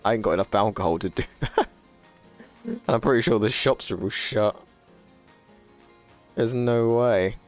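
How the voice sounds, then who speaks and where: A man's voice: unhurried, pauses often, soft background music. A man in his twenties, chatting casually and profanely to a live stream audience, rambling and laughing often. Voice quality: low voice. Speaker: livestreamer.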